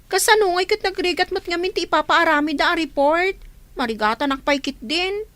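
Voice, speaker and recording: A woman's voice: extremely high pitch, brisk, a bit bright, dry recording. A woman with an Ilocano accent playing a whiny, evasive young student who dodges work in a radio comedy drama. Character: work-shy young female student making excuses.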